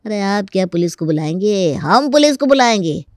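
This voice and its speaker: A man's voice: sits high, lively intonation, moderate pace, no echo. A crafty young village man played by an actor in a comic cartoon story. He is folksy and mock-polite, yet stubborn and always ready to argue. The sound is dry and close. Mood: indignant, defiant. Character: stubborn, crafty young rural male tenant with cattle. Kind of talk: Hindi comic story dramatization.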